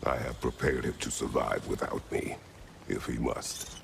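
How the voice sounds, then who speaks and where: An actor playing a stern, weary older warrior god in a video game, speaking in terse, solemn, gravelly phrases. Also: male voice, very deep voice, fairly even intonation, measured pace, background noise, heavily acted. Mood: grim, resolute. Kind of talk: cinematic video game acting. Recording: orchestral music behind.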